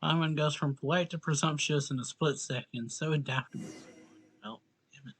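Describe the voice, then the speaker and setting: A woman streaming a game, reading dialogue aloud and muttering reactions in a dry, low-key way. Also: steady tone, normal speed, slight background noise, low-quality recording.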